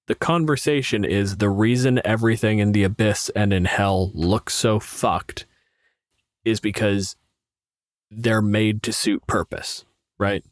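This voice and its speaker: A man's voice: fairly low pitch, measured pace, no room sound, studio quality. A man co-hosting a podcast with casual banter, blunt, argumentative and prone to swearing. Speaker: podcast co-host. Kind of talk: casual banter, answering listener questions.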